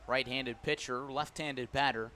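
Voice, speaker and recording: A man's voice: mid-range voice, steady tone, unhurried, sharp tone, slight background noise. A man calling baseball play-by-play live on the radio from a ballpark, punching up the big moments. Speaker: play-by-play announcer. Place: outdoor ballpark broadcast.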